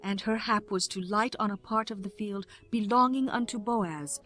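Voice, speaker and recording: A woman's voice: medium pitch, moderate pace, bright, forceful tone, soft background music. A woman narrator reading a scripted scripture audiobook.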